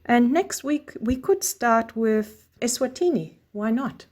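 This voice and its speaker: A woman informally pitching an idea as an academic contributor, thinking aloud as she goes. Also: expressive, takes it slowly, very noisy.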